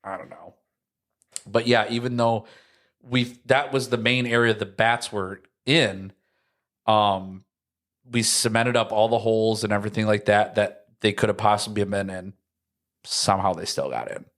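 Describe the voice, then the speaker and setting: A man's voice: lowish voice, steady tone, normal speed, fairly bright tone. A young American man chatting casually and telling childhood stories in slangy language, acting out moments as he goes. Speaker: podcast host.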